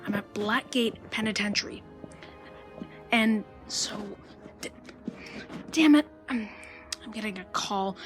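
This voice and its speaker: A woman's voice: medium pitch, slow pace, long pauses, soft background music, natural voice. A woman playing a frantic young male reporter in an amateur radio play, stammering and urgent.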